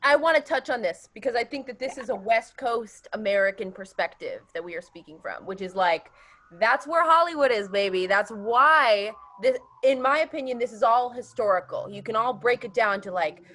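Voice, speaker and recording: A woman's voice: a little high, expressive, normal speed, bright, forceful tone. An actress on a panel, explaining her view in an emphatic, conversational way. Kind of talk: casual panel discussion.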